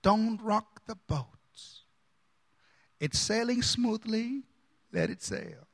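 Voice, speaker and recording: A man from India, a pastor preaching a sermon with dramatic swings between loud emphasis and soft asides. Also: high-pitched, wildly swooping pitch, slow pace.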